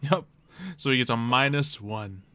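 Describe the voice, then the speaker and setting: A man runs a tabletop fantasy game as game master. His voice is bright and clean, of middling pitch, and he narrates conversationally, feeling his way through scenes. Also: unhurried, clear, resonant tone, no echo.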